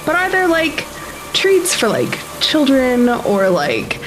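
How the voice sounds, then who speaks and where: A woman playing a tabletop roleplaying game, casually improvising her actions in a halting, nervous-sounding way. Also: sits high, wildly swooping pitch, background noise. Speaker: tabletop RPG player.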